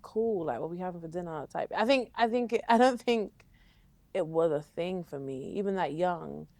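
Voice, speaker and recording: A woman's voice: fairly low pitch, moderate pace, darkish tone, no room sound. A young woman speaking casually and emotionally to a parent, with many fillers like "like". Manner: chatty, emotional, uses lots of "like". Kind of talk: intimate interview conversation.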